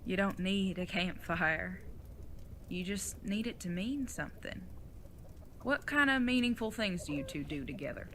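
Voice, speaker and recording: A woman's voice: on the low side, lively intonation, a little slow, fairly bright tone, a little hiss or hum. A woman playing an older retired outlaw mother in an audio drama, folksy, plain-spoken and teasing, with affection underneath. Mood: reassuring, frank.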